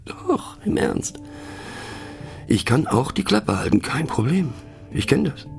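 An actor playing a musing, mocking, eccentric narrator in a satirical radio monologue, halting and conspiratorial, often sinking to a near whisper, with a gravelly, husky voice. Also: male voice, medium pitch, big pitch swings, exaggerated voice. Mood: defensive, wry. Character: musing, mocking, eccentric male narrator, rambling and self-doubting.

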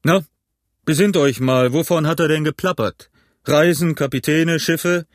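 A man voicing a big, shrewd, jovial tavern keeper in an audiobook, hearty, loud and commanding. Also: mid-range voice, measured pace, dry recording, studio quality, close to an everyday voice. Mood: blustering, badgering. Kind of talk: acted audiobook character.

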